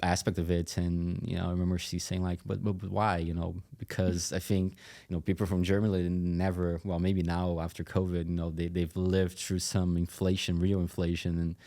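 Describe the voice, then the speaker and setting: Male podcast guest, Brazilian-accented native Portuguese speaker. Flat, soft-edged delivery, conversational, with frequent searching pauses. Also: deep voice. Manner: hesitant, pausing often mid-phrase. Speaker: podcast guest, company executive. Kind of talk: casual interview talk.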